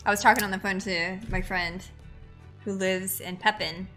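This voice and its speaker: Bright, giggly woman with a Wisconsin accent. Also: medium pitch, fairly even intonation, normal speed, soft background music. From Upper Midwest, United States. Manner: giggly, rambling, many fillers. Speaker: guest co-host. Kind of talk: casual live stream chat.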